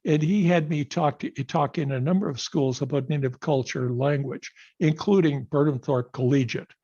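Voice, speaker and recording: Husky older man on a lo-fi call. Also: a little high, warm tone. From Canada. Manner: earnest, measured storytelling. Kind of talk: personal speech on a video call. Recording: dull lo-fi video call audio.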